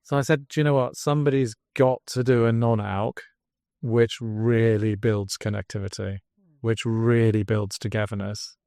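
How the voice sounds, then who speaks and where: An English man, guest on a podcast interview, telling his story as a drinks brand founder in an earnest pitch. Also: steady tone, normal speed, darkish tone, clean tone, no room sound, clean studio sound. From England.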